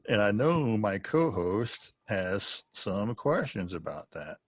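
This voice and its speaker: A man co-hosting a podcast interview in a casual, halting, chatty way, often chuckling. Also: on the low side, lively intonation, dry recording. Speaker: podcast co-host.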